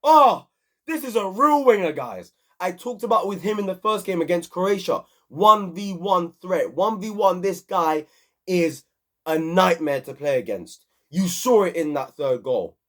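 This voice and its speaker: Excitable man reviewing football. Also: sits high, a little slow. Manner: animated, repetitive, slangy. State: hoarse from screaming. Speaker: football channel host. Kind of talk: excited casual match review.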